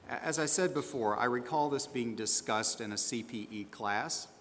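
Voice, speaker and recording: A man's voice: medium pitch, normal speed, a bit bright, lightly acted. A man playing a defensive, stubborn accountant answering questions in a staged hearing, stiff and insistent. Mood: defensive, firm. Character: stubborn, defensive male small-firm accountant under questioning. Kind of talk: scripted dramatization of a hearing. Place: large meeting hall with sound system. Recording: reverberant hall with faint background noise.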